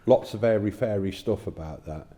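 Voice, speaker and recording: A plain-spoken man talking haltingly in a casual discussion of faith, recorded with long reverb. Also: fairly low pitch, mellow.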